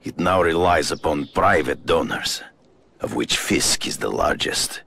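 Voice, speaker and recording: An actor playing a proud, honor-obsessed hunter villain in a video game, gravelly, formal and grandiose. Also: male voice, rumbling low, measured pace, sharp tone, slight background noise, exaggerated voice. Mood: grave, compliant.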